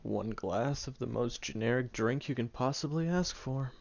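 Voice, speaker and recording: A man voicing a grumpy tsundere teenage boy who is gruff but caring, grumbling, sighing and muttering half-whispered asides in an audio roleplay. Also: medium pitch, moderate pace, lo-fi sound. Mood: gruff concern.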